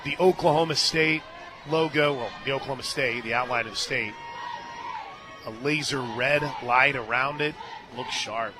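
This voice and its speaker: An American man calling a softball game on radio, chatting in a folksy, conversational way and punching up each pitch. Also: moderate pace. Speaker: play-by-play announcer. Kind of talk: live softball radio commentary with casual banter. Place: stadium radio booth. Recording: outdoor stadium crowd noise behind broadcast.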